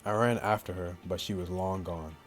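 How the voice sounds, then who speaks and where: A man's voice: low voice, steady tone, normal speed. A man doing a let's play of a visual novel, reading the text aloud and tossing in slangy, jokey asides over game music. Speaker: gaming YouTuber. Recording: music behind the voice.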